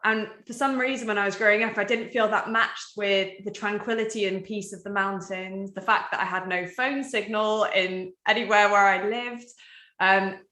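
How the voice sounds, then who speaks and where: A woman in her thirties, a guest telling her story in a reflective, relaxed way over a video call from her home. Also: medium pitch, normal speed. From Wales. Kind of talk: casual conversation. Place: home in the mountains. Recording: echoey room.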